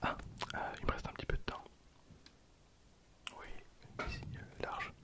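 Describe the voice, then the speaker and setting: A man in his twenties whispering for an ASMR video, soft and hesitant with frequent fillers, speaking close to a recorder. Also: normal speed. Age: 20s. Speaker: ASMR creator. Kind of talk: whispered ASMR card opening. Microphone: handheld recorder behind the camera.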